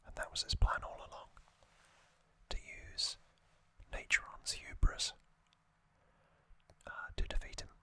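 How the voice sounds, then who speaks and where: A man hosting ASMR, recapping a story in a breathy, unvoiced whisper with a gentle, halting delivery. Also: no room sound. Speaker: ASMR host.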